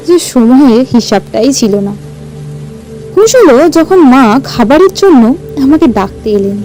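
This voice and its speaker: A woman narrating a first-person story in a suspenseful, expressive, theatrical way. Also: sits high, poor audio. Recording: music bed under the voice.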